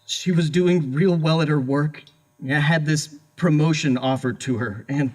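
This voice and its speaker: An actor playing a distraught young husband under interrogation in an audio horror drama, halting, stammering and pleading. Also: male voice, a little high, darkish tone. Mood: guarded, evasive.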